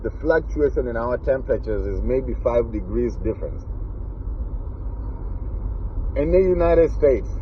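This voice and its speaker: A man's voice: medium pitch, lively intonation, measured pace, pauses often, lo-fi sound, a little hiss or hum. A man in his forties from Kenya with a Kenyan accent, talking earnestly to camera and stressing his points by repeating them. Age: 40s. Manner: earnest, emphatic, repeats key phrases. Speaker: vlogger. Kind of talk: casual personal talk to camera.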